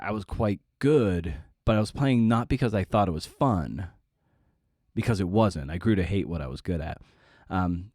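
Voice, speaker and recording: A man's voice: low-pitched, a little mellow, no echo, clean studio sound. A middle-aged man hosting a podcast, talking casually and confessionally in a self-deprecating, rambling, sweary way. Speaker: podcast host.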